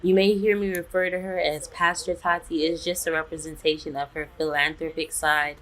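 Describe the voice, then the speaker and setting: A woman's voice: deep voice, little pitch movement, brisk, fairly bright tone. A woman hosting a business webinar, introducing her guest with chatty enthusiasm. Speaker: webinar host.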